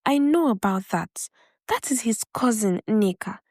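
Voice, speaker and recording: A woman's voice: a little high, expressive, measured pace, darkish tone, no room sound, clean studio sound. A woman voicing a trusting, stubborn bride in love, speaking earnestly and emphatically. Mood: indignant, defensive.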